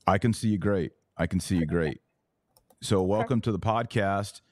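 A man's voice: lowish voice, moderate pace, darkish tone, no room sound, studio quality. A man hosting a podcast, giving live commentary and interviewing. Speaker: podcast host.

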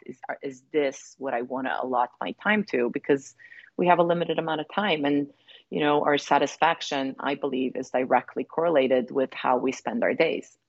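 Low-voiced Serbian-born woman, reflective. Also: no echo, highs cut off. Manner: reflective, frequent fillers and restarts. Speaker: women's relationship coach. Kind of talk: conversational interview.

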